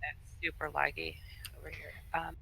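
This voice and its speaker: A raid leader organizing an online game group in casual voice chat, hesitant and thinking out loud. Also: mid-range voice, little pitch movement, moderate pace, vocal fry, low-quality recording. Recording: faint noise on gaming voice chat.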